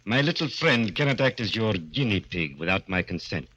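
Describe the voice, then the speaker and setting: A man's voice: on the low side, sing-song, normal speed, a bit bright, slightly rough, lo-fi sound, heavily acted. An actor playing a huge, cold, menacing vaudeville strongman in an old radio horror drama, with stiff, commanding speech. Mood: smooth menace.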